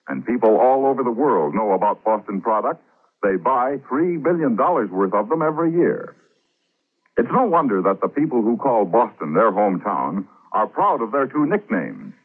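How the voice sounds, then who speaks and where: A man's voice: on the low side, husky. A man announcing from a script in a formal, declamatory 1940s radio style, on a muffled old recording.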